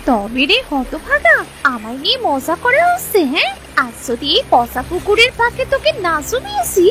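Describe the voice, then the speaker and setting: Very high-pitched restless village girl. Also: huge pitch swings, normal speed, a crowd in the background, full character voice. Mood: angry, threatening. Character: restless, scrappy village girl, a younger sister. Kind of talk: Bengali cartoon voice acting.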